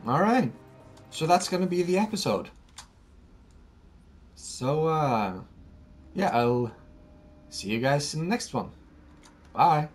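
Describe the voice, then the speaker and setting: Chatty Norwegian-accented gamer. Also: male voice, fairly high pitch, very animated, slow, pauses often, faint music behind. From Norway. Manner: chatty, enthusiastic, laughs often, repeats himself. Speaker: let's play host. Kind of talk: casual gameplay commentary.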